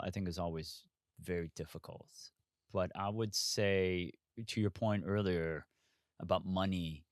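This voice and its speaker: Deep-voiced, candid male investor. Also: no room sound, studio quality. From Hong Kong. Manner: candid, frank, with many restarts and asides. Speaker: investor guest. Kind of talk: casual interview conversation.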